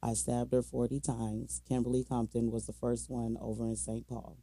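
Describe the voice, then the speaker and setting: Dark-voiced American man with a gravelly edge. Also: mid-range voice, monotone, moderate pace, no echo, rough recording. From Minnesota, United States. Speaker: podcast co-host telling a true crime case. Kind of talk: casual true crime storytelling with slang.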